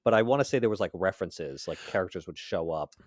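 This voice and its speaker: A man's voice: deep voice, expressive, fast, no room sound, studio quality. A man co-hosting a podcast, chatting casually about pop culture. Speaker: podcast co-host.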